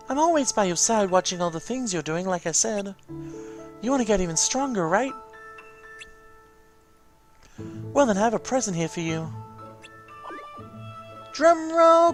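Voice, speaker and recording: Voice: mid-range voice, voice pushed up, lightly acted. A person voicing a small, eager spirit familiar from a video game in a chirpy, encouraging way. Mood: excited. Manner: bubbly, encouraging, coaxing.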